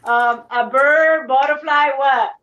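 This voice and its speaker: A woman with a Puerto Rican accent chatting on a video call while crafting, often pausing to search for words. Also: high voice, a bit bright, distant mic, highs cut off, a little hiss or hum. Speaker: crafter guest. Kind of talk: casual group chat.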